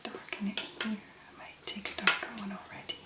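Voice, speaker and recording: A woman speaking in a breathy, whispery voice, gently and unhurriedly murmuring step by step through a soft-spoken ASMR tutorial as its host. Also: mid-range voice, monotone, normal speed, echoey room.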